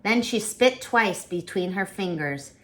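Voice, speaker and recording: A woman reading a children's storybook aloud as the storyteller, with expressive, dramatic narration. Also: mid-range voice, a little slow.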